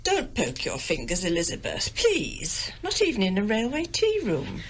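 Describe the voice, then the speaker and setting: A woman's voice: mid-range voice, huge pitch swings, moderate pace, soft background music. Fussy, swooping older English mother. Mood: fussy, scolding. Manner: querulous, haughty, melodramatically aggrieved. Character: fussy, self-pitying, domineering middle-class English village mother. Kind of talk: radio drama acting.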